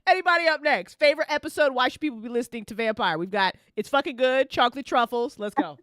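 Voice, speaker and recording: A woman's voice: sits high, expressive, fast, fairly bright tone, no room sound, clean studio sound. A woman hosting a casual after-show, effusive and encouraging with her guests. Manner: effusive, encouraging, swears freely. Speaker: host of a live after-show. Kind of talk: casual interview chat.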